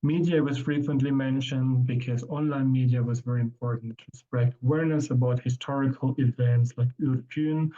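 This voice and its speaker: A man presenting his research at an academic conference, speaking in a measured way with frequent ums and restarts. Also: medium pitch, mellow, lo-fi sound.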